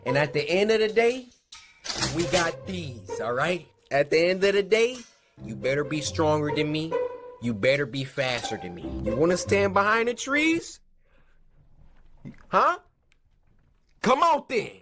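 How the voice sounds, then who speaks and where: A man's voice: a little high, big pitch swings, unhurried, pauses often. A man hosting a gaming video, joking and talking back to a story as he reacts to it. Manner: joking, talks back to the story, hypes himself up. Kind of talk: comic live reaction commentary. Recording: music playing under voice. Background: background music.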